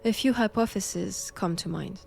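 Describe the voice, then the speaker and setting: Slow, stern French-accented nun, played by a woman. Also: mid-range voice, gravelly, darkish tone, faint music behind. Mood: clinical, measured. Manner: slow, deliberate, formal, commanding. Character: stern, righteous vampire-hunting nun, cold, commanding interrogator. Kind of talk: dramatic roleplay audio acting.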